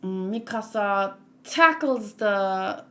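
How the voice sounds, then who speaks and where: A woman giving casual, halting read-along commentary on a comic, with exclamations as she reacts. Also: mid-range voice, lively intonation, a bit bright. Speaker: manga read-along host.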